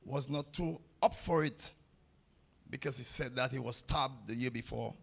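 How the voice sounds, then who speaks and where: Gravelly-voiced man speaking bluntly. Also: mid-range voice, normal speed. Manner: blunt, repeats phrases for emphasis. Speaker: table tennis coach giving a speech. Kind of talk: public ceremony speech.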